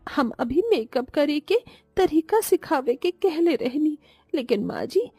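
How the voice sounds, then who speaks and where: Woman acting meek cartoon village daughter-in-law, Bhojpuri accent, soft mellow tone, very animated pitch, humble. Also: a little slow, no room sound. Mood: sad, hurt. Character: meek, naive young village daughter-in-law, earnest and hurt. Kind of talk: cartoon moral story voice acting.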